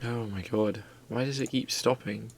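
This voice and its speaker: A man's voice: on the low side, steady tone, moderate pace, gravelly, a little hiss or hum. A man streaming live, chatting casually and rambling playfully with his viewers while drawing.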